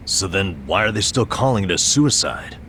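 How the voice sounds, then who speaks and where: A man's voice: low voice, expressive, moderate pace, sharp tone, slight background noise, full character voice. An actor playing a skeptical middle-aged male companion in an English game dub, cautious and questioning. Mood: wary, puzzled.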